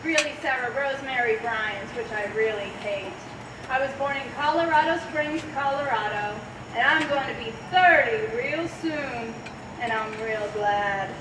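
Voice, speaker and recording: A woman acting a worldly dancer near thirty who talks slowly and dryly. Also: fairly high pitch, close to an everyday voice. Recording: echoey stage from far off, noisy.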